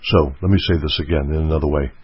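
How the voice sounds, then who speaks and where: A man giving an earnest motivational teaching talk, repeating his key points slowly. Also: rumbling low, steady tone, breathy edge, no echo, muffled recording. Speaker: self-help speaker.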